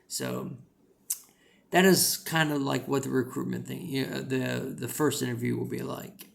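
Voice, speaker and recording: A man's voice: mid-range voice, little pitch movement, measured pace, gravelly. A man with a rural American accent giving casual, rambling advice as an English teacher, with lots of "you know" fillers.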